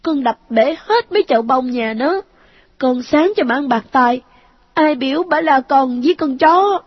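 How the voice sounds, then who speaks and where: A woman's voice: sits high, lively intonation, unhurried, no room sound, lo-fi sound, voice pushed up. A woman voicing the ghost of a dead little boy, clingy and pleading in a childlike whine. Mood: resentful.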